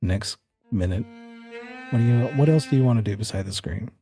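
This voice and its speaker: A man's voice: on the low side, fairly quick, warm tone, faint music behind. A man narrating a tabletop horror game as game master, switching between story description and conversational rules calls.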